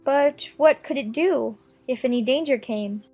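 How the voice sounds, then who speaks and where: A woman's voice: sits high, measured pace, darkish tone, faint music behind. A woman playing a curious, polite, musing young girl in a dramatized children's audiobook.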